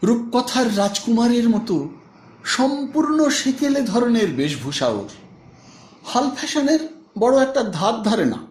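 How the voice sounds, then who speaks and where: A man narrates a literary audiobook in a high voice that swings widely in pitch. His reading is measured and expressive, and the recording has a strong roomy echo. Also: a little hiss or hum. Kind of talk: dramatized literary story narration.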